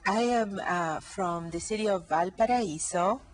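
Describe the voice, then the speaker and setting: A woman's voice: medium pitch, a little slow. A woman from Chile, a guest answering a teacher's questions in brief, careful replies. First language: Spanish. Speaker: guest university Spanish teacher. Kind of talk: classroom interview answers.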